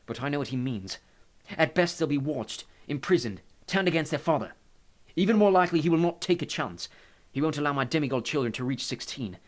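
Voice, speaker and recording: Audiobook casting: underworld god, acted by a man, anxious protector, urgent pleading, lifted pitch. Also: expressive, a little fast, lightly acted. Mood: grim.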